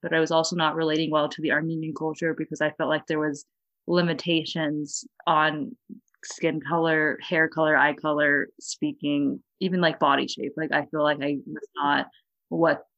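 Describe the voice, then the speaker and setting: A woman's voice: deep voice, level tone, moderate pace, a bit bright, no room sound. Young woman, earnest, casual chat, lots of fillers, dull dry audio. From California, USA. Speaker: guest. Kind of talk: casual conversation.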